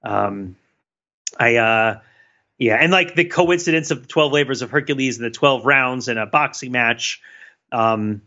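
A man co-hosting a podcast, thinking out loud in casual analytical talk, rambling with restarts and laughs. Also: mid-range voice, measured pace. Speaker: podcast co-host. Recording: dull lo-fi sound.

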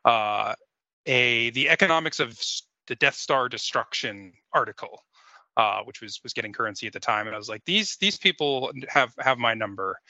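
A man chatting casually as a podcast guest, halting and reflective, over a lo-fi connection. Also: mid-range voice, expressive, sharp tone, no echo.

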